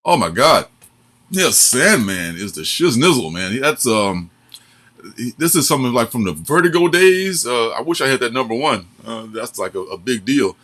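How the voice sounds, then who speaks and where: A man's voice: medium pitch, sing-song, moderate pace, fairly bright tone. A man in his forties, co-hosting a casual chat. He talks in a chatty way, laughs often, and uses a lot of fillers. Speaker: co-host.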